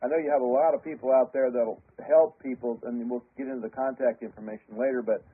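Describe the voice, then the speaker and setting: Male radio host, agreeable and halting, husky tone, narrow lo-fi audio. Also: medium pitch, steady tone. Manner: agreeable, short affirmations, halting questions. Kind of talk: radio interview. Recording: lo-fi phone-like broadcast audio.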